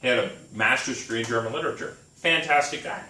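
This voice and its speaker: A man's voice: mid-range voice, fast, bright, forceful tone. A man in his thirties co-hosting a casual chat, rambling through stories and laughing often. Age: 30s. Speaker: podcast co-host. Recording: echoey room, mic at a distance.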